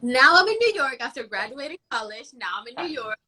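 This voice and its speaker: A woman's voice: high-pitched, sing-song, quick pace, bright, forceful tone, slight background noise. A young woman from Puerto Rico, a guest in a casual interview, talking boldly and bluntly with easy self-assurance.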